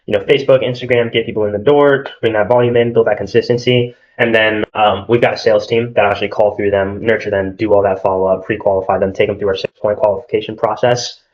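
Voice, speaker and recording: A man's voice: lowish voice, fairly even intonation, fast, hardly any pauses, dull audio. A young man from Arizona running a sales call, casual and filled with "like" and "at the end of the day". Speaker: marketing agency salesman.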